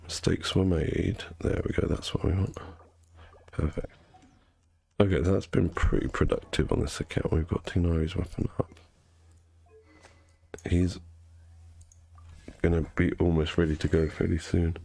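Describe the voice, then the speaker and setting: Low nasal-voiced man, chatty and rambling. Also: level tone, a little slow, creaky, pauses often, warm tone, breathy edge. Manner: rambling, self-correcting, chatty. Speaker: game streamer. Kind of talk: casual chat while gaming.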